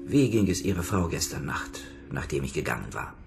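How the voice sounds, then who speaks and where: An actor playing a calm, authoritative psychotherapist in a radio drama, speaking formally and insistently in measured phrases. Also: male voice, rumbling low, vocal fry, over loud music, heavily acted. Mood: probing, controlled. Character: calm, probing male psychotherapist, authoritative and persistent. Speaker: actor in a radio crime play. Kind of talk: German radio drama acting.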